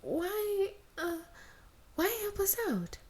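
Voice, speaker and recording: Woman putting on high-pitched, excitable young girl. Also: slow pace, heavily acted. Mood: curious, suspicious. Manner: bickering, emphatic. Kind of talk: amateur fan fiction character reading.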